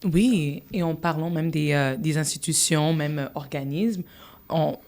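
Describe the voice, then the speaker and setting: Podcast host: woman, low-pitched, halting questions, frequent "ouais" and "mm-hmm". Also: darkish tone. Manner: backchannels often, asks questions haltingly. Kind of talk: moderating a podcast panel.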